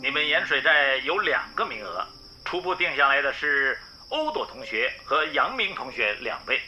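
A genial local official in a radio drama. His voice is fairly high and very animated, friendly yet measured, with music clearly underneath. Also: highs cut off, exaggerated voice. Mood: pleased, informing. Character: genial male township education official.

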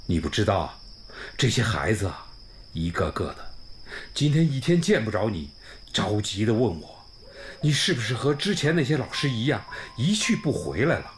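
An expressive, kindly old village principal. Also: male voice, on the low side, moderate pace, slight background noise. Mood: fond, animated. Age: older, around sixties. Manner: effusive, homely, sighing and exclaiming. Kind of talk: radio drama acting. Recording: music bed under the voice.

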